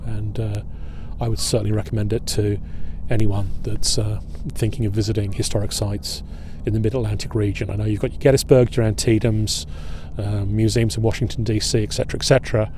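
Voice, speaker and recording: Mellow-voiced man guiding a history tour. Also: on the low side, a little hiss or hum. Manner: relaxed, hesitant, with many filler pauses. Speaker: historian and battlefield guide. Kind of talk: outdoor guided history tour talk. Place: outdoors at a waterfront fort.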